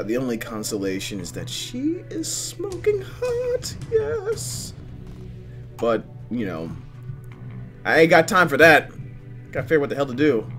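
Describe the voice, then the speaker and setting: A man hosting a gaming video, reading game text aloud and commenting with expressive, dramatic delivery. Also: on the high side. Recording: game music behind. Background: game music.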